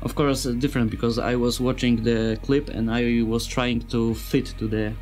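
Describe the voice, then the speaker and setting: A man with a non-native English accent giving an informal tutorial as a sound designer, speaking in simple, halting phrases and searching for words. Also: medium pitch, level tone, darkish tone, faint music behind. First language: not English. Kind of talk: informal software tutorial narration.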